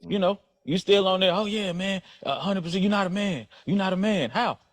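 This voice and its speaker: A man's voice: sits high, fairly quick. A man arguing heatedly and indignantly, firing repeated rhetorical questions. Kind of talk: heated argument.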